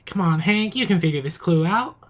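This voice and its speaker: A woman's voice: fairly low pitch, animated, fairly quick. A woman playing a sensible police sidekick in a comedic audio play, encouraging yet impatient, prodding with questions.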